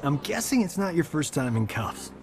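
An actor playing a wisecracking, laid-back military sidekick in a video game, sardonic and flippant. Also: male voice, on the high side, huge pitch swings, moderate pace, background noise. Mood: wry, teasing. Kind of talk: dramatic video game cutscene acting.

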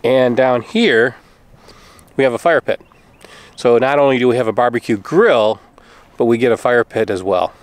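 A man's voice: mid-range voice, normal speed, pauses often. A middle-aged man who makes RV travel videos, chatting casually to the camera outdoors. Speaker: RV travel vlogger. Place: outdoors at a campground. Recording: clear outdoor background noise.

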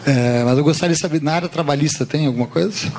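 A man's voice: on the high side, fast talker, noisy background. Brazilian man questioning from the audience. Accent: Brazilian Portuguese. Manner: conversational, interjecting. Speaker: audience member. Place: large auditorium.

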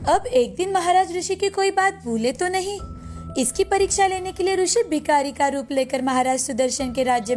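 A woman's voice: extremely high pitch, a little mellow. A woman narrator reading a scripted folktale. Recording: music bed behind the voice.

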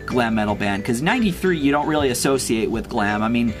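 Man in his twenties, medium pitch, chatty, opinionated, casual vlog delivery, music behind. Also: lively intonation, fairly quick. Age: 20s. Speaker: YouTube host.

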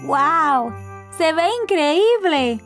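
A woman voicing a cheerful, eager little boy of about four in a children's cartoon, childlike and excitable. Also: high voice, very slow, music in the background, exaggerated voice. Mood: excited, eager.

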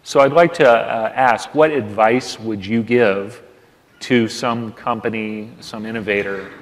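A man moderating a conference panel, speaking deliberately with pauses and fillers. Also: fairly low pitch, steady tone, a little hiss or hum. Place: large conference hall stage. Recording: long hall reverb.

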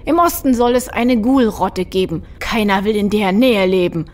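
Casting: actress as sensible female troll, medium-pitched, gentle and measured, for an audio drama. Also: lightly acted. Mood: cautious. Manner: measured, reasoning. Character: gentle, level-headed female troll, reasoning with her partner. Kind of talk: fan audio drama acting.

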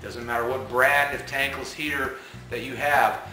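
A middle-aged man explains in a patient, step-by-step way, now and then repeating himself. His voice is bright and forward. It rings in a small, echoey room, with faint music behind. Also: medium pitch, fairly even intonation, normal speed. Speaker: company technical presenter. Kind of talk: instructional talk to camera. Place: small indoor utility room with plumbing.

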